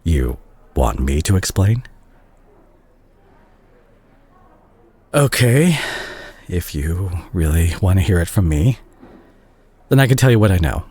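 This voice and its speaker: Man playing a deep, husky doctor ex-boyfriend. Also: big pitch swings, normal speed, darkish tone, heavily acted. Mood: reassuring, urgent. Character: doctor ex-boyfriend, bitter, remorseful yet caring. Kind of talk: intimate scripted audio roleplay to the listener.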